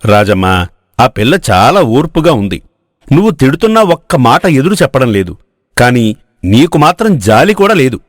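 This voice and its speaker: Man acting compassionate village father-in-law, lively intonation. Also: mid-range voice, moderate pace, dry recording, studio quality, natural voice. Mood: pitying. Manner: gentle, pitying.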